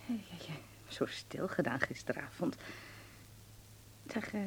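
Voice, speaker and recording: A woman playing a doting, frail mother gently fussing over her son in a radio play. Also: sits low, animated, a little slow, in a whisper. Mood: tender, anxious.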